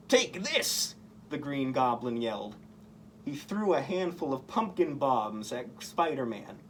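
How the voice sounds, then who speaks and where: A man's voice: mid-range voice, slight background noise. A man reading a picture book aloud to children as a costumed story-time performer. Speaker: costumed story-time reader in superhero persona.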